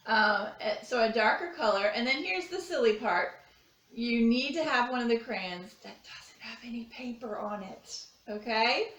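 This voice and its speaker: A woman's voice: fairly high pitch, expressive, moderate pace, a bit bright. A woman art teacher giving children a simple, step-by-step craft demonstration. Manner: simple, step-by-step explaining with repeated phrases. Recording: echoey room, distant microphone.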